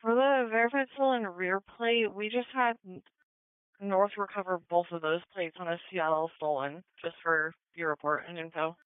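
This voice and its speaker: A dispatcher with a smooth, crisp voice relays calls over a phone-like radio channel. The delivery is procedural and matter-of-fact, with no room sound. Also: medium pitch, fairly even intonation, moderate pace, no echo. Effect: two-way radio. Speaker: police dispatcher. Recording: dry phone-like scanner radio.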